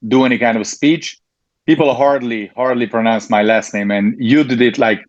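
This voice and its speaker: Brief: Hungarian-accented man, guest in an interview, halting, full of ums, dry lo-fi audio. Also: mid-range voice, no echo. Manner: hesitant, frequent ums and restarts. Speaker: network marketing leader, guest. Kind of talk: interview answers.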